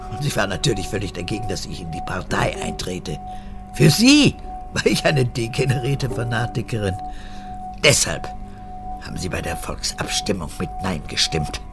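An actress playing an old, manipulative and clingy mother, an unrepentant former camp guard, in a gravelly voice for a radio drama. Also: exaggerated voice. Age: older. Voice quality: creaky, gravelly. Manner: erratic, swinging from whispers to shrill outbursts.

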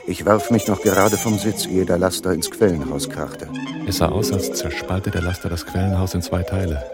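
An actor playing the armed first-person narrator of a radio thriller, recounting the action over music. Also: male voice, sits low, natural voice.

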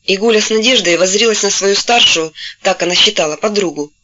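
A woman narrating a novel in a steady storytelling voice, gently taking on the characters' voices when they speak. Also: medium pitch, a little fast, highs cut off, a little hiss or hum. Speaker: audiobook narrator. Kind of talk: scripted literary narration.

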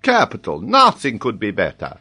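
Man playing an excitable, high-pitched theatrical geographer. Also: breathy edge, exaggerated voice. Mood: mock admiration. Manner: exclamatory, drawn-out, mock-admiring. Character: excitable, theatrical French male geographer, amused and incredulous.

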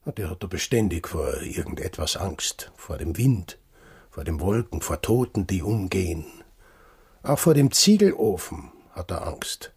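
An actor playing a brusque, mocking sailor in a radio drama. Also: male voice, sits low, sing-song, a little slow, a little mellow, exaggerated voice. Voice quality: husky. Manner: gruff, sarcastic. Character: brusque, mocking sailor living alone in a hut.

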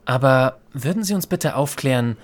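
A man's voice: medium pitch, animated, moderate pace, close to an everyday voice. A young man playing a loyal, protective youth in an audio drama, earnest, polite and slightly formal. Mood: shaken, earnest. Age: young adult. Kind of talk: German audio drama acting.

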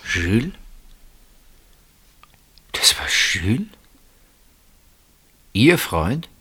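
Agitated, suspicious husband in radio drama; insistent interrogator, low-set voice that leaps widely in pitch. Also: male voice, very animated, extremely slow, pushed and bright, slightly rough, heavily acted. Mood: bitter, probing. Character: suspicious, agitated husband searching for his missing wife. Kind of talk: German radio play acting.

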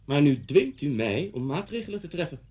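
Officious Dutch policeman with a husky voice. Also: a little high, sing-song, fairly quick, dark tone, highs cut off. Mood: menacing. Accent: Netherlands Dutch. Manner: formal, pedantic, commanding. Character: officious, menacing new neighbourhood policeman, a former pupil. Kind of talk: 1970s radio thriller acting. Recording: slightly rough 1970s radio recording.

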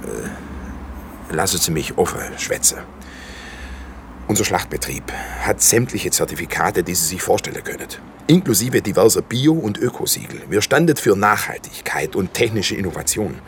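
An actor playing an evasive, officious slaughterhouse manager in a naturalistic radio drama, talking in formal, defensive corporate phrases. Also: male voice, low-pitched, very animated, normal speed, slight background noise. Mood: confiding, uneasy.

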